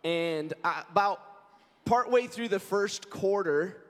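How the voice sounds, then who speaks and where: Hoarse pastor preaching in a reverberant hall. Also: male voice, high-pitched, takes it slowly, a bit bright. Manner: earnest, conversational preaching with storytelling. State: hoarse, scratchy voice. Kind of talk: sermon with prayer and scripture reading. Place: church sanctuary. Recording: long reverb of a large church hall.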